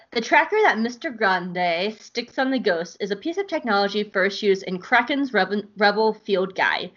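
This is a woman hosting a casual podcast in an eager, gushy way, cursing freely as she chats. Her voice sits in an ordinary middle range. The recording is dull and somewhat lo-fi. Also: mid-range voice. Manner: gushing, excitable, swears and laughs often. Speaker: podcast host. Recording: dull lo-fi sound.

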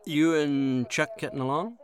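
A man playing a cynical, bitter best friend in an audio drama, with a sardonic, emphatic, drawn-out delivery. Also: fairly high pitch, steady tone, close to an everyday voice. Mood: awkward, insinuating. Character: bitter, cynical best friend ranting about deadly women.

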